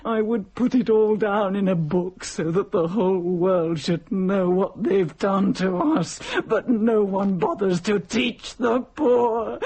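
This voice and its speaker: A desperate young mother from centuries past in a radio drama. Her voice is husky and worn. She recounts her hardship formally and haltingly, heavy with anguish and despair. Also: on the high side, a little mellow. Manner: anguished, halting, formal old-fashioned storytelling. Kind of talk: dramatic radio play monologue.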